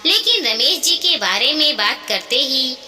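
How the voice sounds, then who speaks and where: A woman narrating a scripted family story in an expressive, dramatic storytelling manner. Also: high voice, unhurried. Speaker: narrator of moral stories. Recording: echoey, long reverb.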